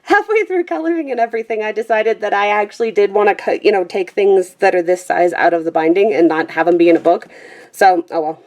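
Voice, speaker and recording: A woman's voice: medium pitch, fairly quick, darkish tone. A woman in a small room at home, chatting casually and rambling on camera, with a self-deprecating manner, trailing off with fillers and guessing at names as she goes. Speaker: vlogger showing her colouring book collection. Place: small room at home with a pet squeaking nearby.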